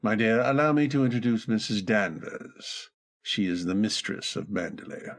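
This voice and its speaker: A man's voice: fairly low pitch, animated, normal speed. A man playing a genial, commanding upper-class master of an estate, courteous and urbane, in an old-time radio drama. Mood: brisk, courteous.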